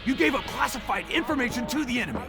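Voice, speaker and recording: A woman's voice: a little high, animated, quick pace, music in the background. An actress playing a betrayed female intelligence officer in a video game cutscene, outraged and urgent. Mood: furious, desperate.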